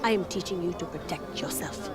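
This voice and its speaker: A woman's voice: on the low side, a little fast, close to an everyday voice. An actress playing a stern, blunt, touchy female rebel commander in a video game cutscene. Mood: brusque. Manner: curt, blunt. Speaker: rebel leader. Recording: game music behind the voice.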